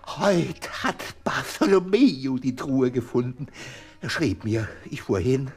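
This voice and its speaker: An eccentric gentleman in a radio drama, whiny and excitable. His slow delivery swings widely in pitch as he lingers theatrically on words and repeats himself. Music plays behind him. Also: breathy edge, heavily acted. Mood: excited. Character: eccentric, excitable, whiny gentleman torn between awe and resentment. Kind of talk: German radio drama acting.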